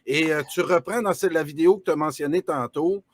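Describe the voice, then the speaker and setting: A man's voice: a little high, fairly quick, no echo. A man with a Quebec French accent hosting a live online interview, asking questions conversationally with stumbling repetitions over a video call. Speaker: host.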